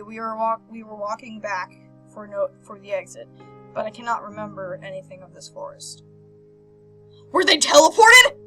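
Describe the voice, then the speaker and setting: A woman hosting a game playthrough, reading visual novel text aloud with animated, casual reactions and stumbling over words now and then. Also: on the high side. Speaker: host of a video game playthrough. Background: game background music.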